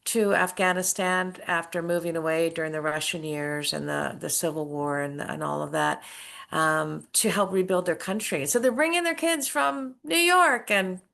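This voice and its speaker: A woman's voice: lowish voice, moderate pace, vocal fry, a bit bright. A middle-aged woman, an author and former school principal, telling her story earnestly in a casual interview. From United States.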